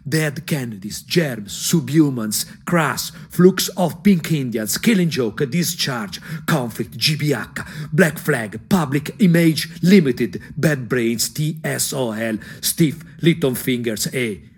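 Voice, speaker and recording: A man with a Tuscan accent and a husky voice performs an ironic, angry, emphatic theatrical monologue for a punk radio drama. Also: mid-range voice, animated, slow, few pauses. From Anghiari, Tuscany, Italy. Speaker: stage actor and storyteller. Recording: music under the voice. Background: music.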